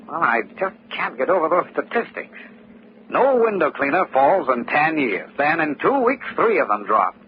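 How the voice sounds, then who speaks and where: An actor playing a brisk, dogged insurance investigator in an old-time radio drama, speaking assertively. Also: male voice, fairly high pitch. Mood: puzzled. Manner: assertive, animated. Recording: muffled, noisy old radio recording.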